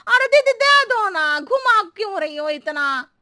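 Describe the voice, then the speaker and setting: A cartoon housemaid with an extremely high, sharp voice. She talks slowly and in circles, cheeky and muddled, wheedling stubbornly as she goes. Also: very animated, dry recording, clean studio sound, full character voice. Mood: pleading. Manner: circuitous, riddling, wheedling. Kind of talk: exaggerated Hindi cartoon comedy acting.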